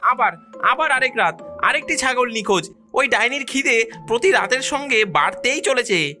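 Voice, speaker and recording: A woman's voice: on the high side, lively intonation, moderate pace, sharp tone, faint music behind. A woman voicing a puzzled, anxious cartoon villager who hesitates and repeats words. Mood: worried.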